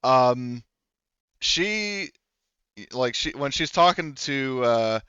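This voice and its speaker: A man narrating a tabletop roleplaying game as game master, explaining things informally and haltingly as he improvises. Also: mid-range voice, animated, bright, forceful tone, clean tone, no echo, muffled recording.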